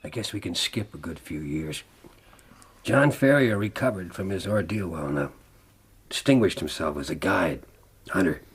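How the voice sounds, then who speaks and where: An actor playing a gravely ill, defiant older man telling his life story in a grave, deliberate, dramatic way for radio drama. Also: low-pitched, lively intonation, full character voice. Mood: reflective.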